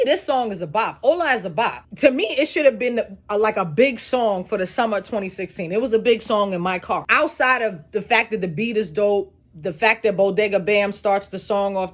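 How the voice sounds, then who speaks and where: A young adult woman with a deep, raspy voice, hosting a casual solo show where she breaks down rap lyrics with animated, profane emphasis.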